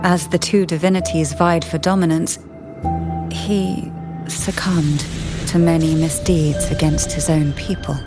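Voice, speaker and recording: A woman voicing a poised, secretive noblewoman in a video game story, speaking in a composed, refined and deliberate way. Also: deep voice, steady tone. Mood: solemn. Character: poised, secretive noblewoman of an old disgraced family. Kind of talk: video game story voice acting, measured exposition. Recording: game music behind the voice.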